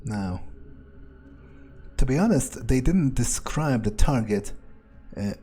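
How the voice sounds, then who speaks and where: Video game vampire, played by a man: ruthless, foul-mouthed muser, darkly amused, dark mellow tone, clear music behind. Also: medium pitch, animated, takes it slowly. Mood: musing, darkly amused. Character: ruthless, casually murderous male vampire thinking aloud. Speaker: gaming streamer role-playing his character. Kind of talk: in-character improvised game commentary.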